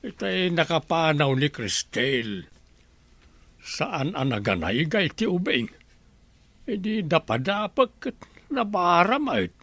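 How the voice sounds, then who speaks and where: A man with an Ilocano accent playing a protective old grandfather in an audio drama, speaking slowly and deliberately. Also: a little high, very animated, a bit bright, dry recording, heavily acted. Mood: gentle, worried. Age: older. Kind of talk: radio drama acting.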